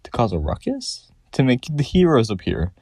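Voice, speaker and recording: Theory video host: man, relaxed dark tone, lively intonation, scripted commentary with slight echo. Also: mid-range voice, normal speed.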